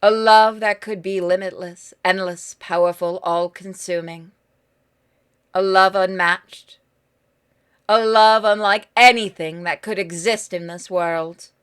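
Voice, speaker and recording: A woman giving dramatic scripted narration for a horror game, pausing for effect between phrases. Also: mid-range voice, fairly even intonation, smooth. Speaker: gaming channel narrator.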